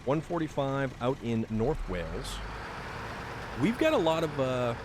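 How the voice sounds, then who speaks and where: A man's voice: medium pitch, sing-song, measured pace. Chatty man streaming over engine noise. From Massachusetts, New England. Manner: friendly, rambling, thanks viewers by name, many fillers and restarts. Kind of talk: casual live-stream chat while playing.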